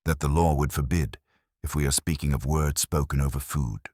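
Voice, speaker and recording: A man voicing an imperious, disdainful male detective in a detective story, husky, formal and pompous. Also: very deep voice, fairly even intonation, moderate pace, darkish tone, no room sound, studio quality. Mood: skeptical.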